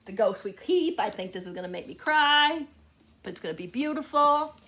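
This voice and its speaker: A woman's voice: high-pitched, expressive, moderate pace, bright, forceful tone. A woman chatting casually to viewers as a book vlogger, gushing and often repeating words for emphasis.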